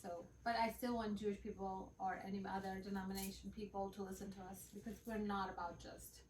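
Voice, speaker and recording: A woman's voice: mid-range voice, flat delivery, fairly quick, darkish tone. Woman rambling on a home podcast, lots of likes and restarts, sounds a bit far from the mic, room echo. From Bangladesh and the United States. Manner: rambling, full of fillers and restarts. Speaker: podcast co-host. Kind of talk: casual chat. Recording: roomy, off-mic with faint background noise.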